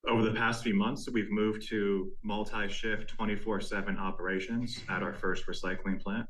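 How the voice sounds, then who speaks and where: A man speaking as a company executive, delivering a prepared earnings presentation in a measured, formal way. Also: on the low side, flat delivery, fairly bright tone, poor audio. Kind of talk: prepared corporate presentation, scripted narration.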